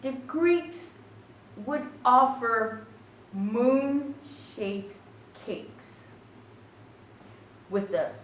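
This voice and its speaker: A woman giving an informal Buddhist talk as a minister, speaking haltingly and pausing often mid-phrase. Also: fairly high pitch. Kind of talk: informal Dharma talk with short chanting. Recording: echoey, distant mic, faint background noise.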